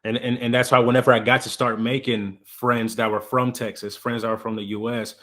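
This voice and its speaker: A man's voice: medium pitch, little pitch movement, brisk, fairly bright tone. A man from Congo hosting a podcast, talking casually and supportively, often repeating a word as he starts a thought. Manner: casual and affirming, repeats words when starting. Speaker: podcast host. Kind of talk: casual conversational interview.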